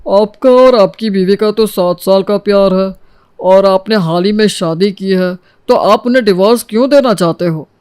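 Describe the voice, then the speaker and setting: Male actor as judgmental lawyer in a storybook tale: high-pitched, crisp, very dry sound, disapproving. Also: normal speed, a little mellow, no room sound, close to an everyday voice. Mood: probing. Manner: insinuating, disapproving. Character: judgmental, gossipy male divorce lawyer stirring up resentment.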